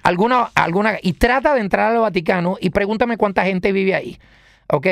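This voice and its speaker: High-pitched, emphatic Venezuelan man. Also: brisk, vocal fry, a bit bright, no echo, clean studio sound. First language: Italian. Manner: emphatic, rhetorical questions, repeats phrases. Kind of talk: political rant in conversation.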